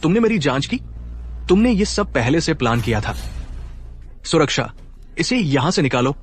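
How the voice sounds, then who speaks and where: A man's voice: a little high, sing-song, normal speed, soft background music. An actor playing an arrogant young man caught as a cheat, defensive and evasive in a dubbed drama. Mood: flustered. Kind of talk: Hindi dub of a short drama. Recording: faint noise behind.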